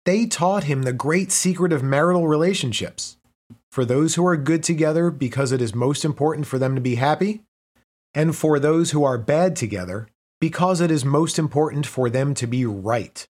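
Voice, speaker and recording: A man reading a prepared text aloud as narration, pausing between the items of each list. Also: a little high, darkish tone. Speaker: reader. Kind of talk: scripted narration.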